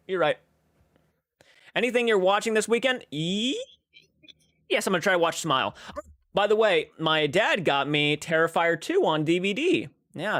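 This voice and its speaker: A young man in his twenties hosts a relaxed livestream, rambling as he reads out viewer comments and answers them. His voice sits a little high for a man. The sound is close and dry, with no room echo. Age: 20s. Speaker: livestream host. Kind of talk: casual livestream chat.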